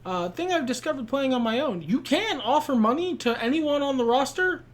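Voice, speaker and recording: A man's voice: very high-pitched, expressive, normal speed. A man co-hosting a casual chat while playing a wrestling booking game, explaining plans step by step.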